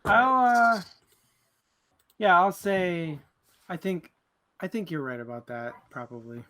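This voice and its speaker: A man's voice: sits high, slow, bright, forceful tone. A man chatting casually as a panelist in a book discussion, tentatively qualifying what he says as he goes. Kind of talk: casual chat.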